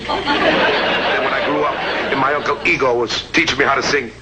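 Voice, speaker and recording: A dim-witted patrol cop with a gravelly, rather high voice that jumps all over the place. He pleads and frets in an excitable, earnest way. The sound is an old, hissy TV soundtrack. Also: normal speed, over a noisy crowd. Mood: dejected. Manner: excitable and pleading, swings from eager to crestfallen. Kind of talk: 1960s sitcom acting.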